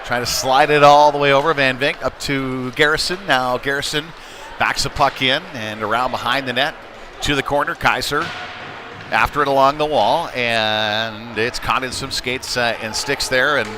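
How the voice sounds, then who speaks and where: A man's voice: medium pitch, steady tone, normal speed. A man doing live play-by-play of a youth hockey game in a conversational, somewhat hesitant and unpolished way. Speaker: play-by-play sports commentator. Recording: noisy live rink ambience. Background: ice rink game noise.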